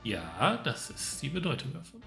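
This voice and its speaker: A man's voice: on the high side, huge pitch swings, normal speed, gravelly, music in the background. A man giving casual playthrough commentary as a game streamer, musing aloud in short reactions. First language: German.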